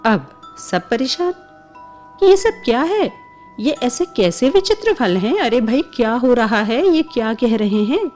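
Woman reading a kids bedtime story, takes it really slow, music with chimes behind her. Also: on the high side, very animated, a little mellow. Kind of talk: scripted bedtime story narration for children.

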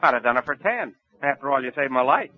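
An actor playing a slangy, wisecracking small-time crook and loyal sidekick in 1940s radio comedy style. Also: male voice, mid-range voice, lively intonation, fast, slight background noise, natural voice. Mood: greedy, eager. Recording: muffled vintage 1940s radio recording.

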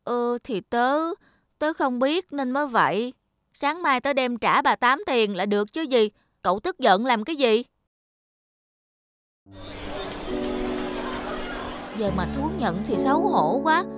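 A young cartoon schoolboy acted by a woman speaks in a high, boyish voice. He is expressive, mischievous and quick to get flustered. Music plays behind him. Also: dry recording, close to an everyday voice. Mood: sheepish. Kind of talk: children's cartoon dialogue.

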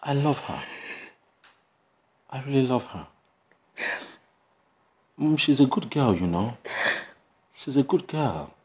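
A man playing a devoted, earnest grown son in a melodrama, speaking in halting, repetitive phrases. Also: mid-range voice, sing-song, darkish tone. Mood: earnest, pleading. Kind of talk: melodramatic screen acting. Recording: clear background noise, room ambience.

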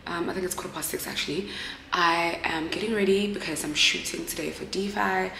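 A woman's voice: lowish voice, steady tone, brisk, gravelly, sharp tone, echoey room, a little hiss or hum, poor audio. A young woman from South Africa in her twenties, chatting upbeat and direct to her viewers while holding her phone camera at arm's length in a room at home. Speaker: vlogger. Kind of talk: casual vlog chat to camera. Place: indoors at home, a bedroom. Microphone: handheld phone camera at arm's length.

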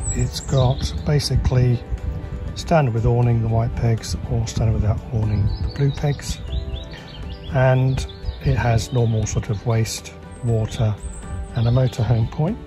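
A man with a relaxed, chatty manner, narrating a tour of a campsite as he walks, recorded outdoors on a handheld camera. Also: medium pitch. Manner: relaxed, chatty, guiding with frequent fillers. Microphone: handheld camera, filming while walking.

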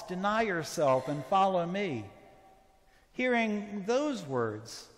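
A middle-aged man with a fairly high voice gives a conversational sermon, gesturing as he speaks. His words carry through a large church sanctuary with a long, lingering reverb. He talks with his congregation rather than at them. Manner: conversational, standing and gesturing as he preaches. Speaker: priest. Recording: church reverb from a fixed camera.

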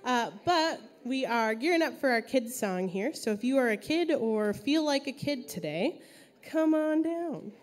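A woman's voice: high-pitched, lively intonation, a little slow. A woman in a church sanctuary, upbeat and encouraging as she introduces a kids' song to the congregation. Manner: upbeat and encouraging, coaxing the crowd.

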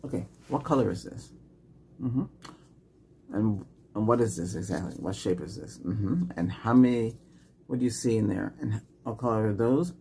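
A man's voice: fairly low pitch, moderate pace, creaky, warm tone, a little hiss or hum. A middle-aged man improvising an ASMR doctor roleplay, giving short, simple questions and instructions as in a medical exam. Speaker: ASMR role-player acting as ER doctor. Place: small home room set up as clinic.

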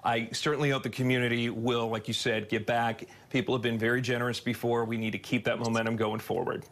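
A man's voice: medium pitch, level tone. Middle-aged male news anchor. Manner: friendly, halting restarts. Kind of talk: studio interview. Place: TV studio.

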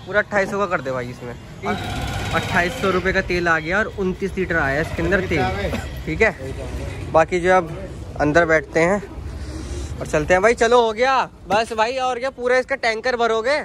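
A young man talks to the camera from inside a moving car at night, chatty and easygoing. His voice sits high for a man. Faint road noise hums behind him. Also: normal speed. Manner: chatty, addresses viewers as bhai. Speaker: car vlogger. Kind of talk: casual road trip vlog.

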